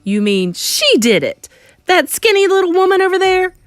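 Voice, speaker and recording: Pompous middle-aged English dad, played by a woman narrator, indignant and spluttering, loud swooping delivery for a storybook. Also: extremely high pitch, big pitch swings, moderate pace, dry recording, voice pushed up. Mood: indignant shouting. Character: blustering outraged English father, pompous middle-aged man.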